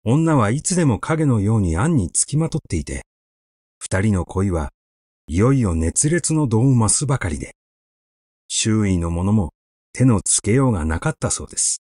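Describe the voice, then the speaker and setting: A man reading a literary story aloud in a measured, expressive, conversational storytelling manner, close to the microphone. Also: lowish voice, dry recording. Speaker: audiobook reader.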